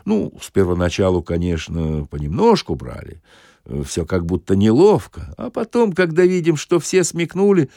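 A man's voice: fairly low pitch, big pitch swings, normal speed, dark tone, no echo. A male narrator acts out a shameless, boastful soldier in a satirical audiobook. He talks in folksy, colloquial storytelling with hugely varied intonation, recorded very dry. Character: thin, boastful, shameless soldier spinning tales.

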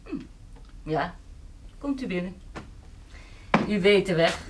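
An actress playing a proud, stubborn country landowner in a radio play, curt, guarded and dry. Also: female voice, on the low side, animated, takes it slowly, breathy edge, exaggerated voice.

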